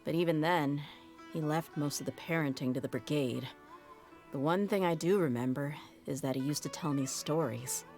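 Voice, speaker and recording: Brief: a candid, deep-voiced female sellsword who speaks plainly. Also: a little mellow. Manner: frank, wry, matter-of-fact. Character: tough young female mercenary, blunt and candid. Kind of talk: video game cutscene dialogue.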